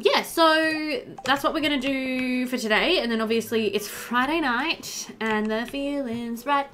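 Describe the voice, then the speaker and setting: A young woman giving casual, chatty gameplay commentary into a headset, thinking aloud as she goes. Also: medium pitch. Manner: chatty, thinks aloud, talks to game animals. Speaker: let's-play host.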